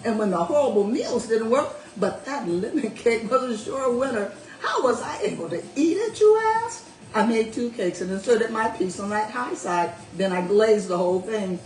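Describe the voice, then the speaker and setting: A woman's voice: a little high, lively intonation, moderate pace, hardly any pauses, darkish tone, far from the mic, voice pushed up, close to an everyday voice. A woman reading as a sly older widow, gleeful. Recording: roomy echo, faint music.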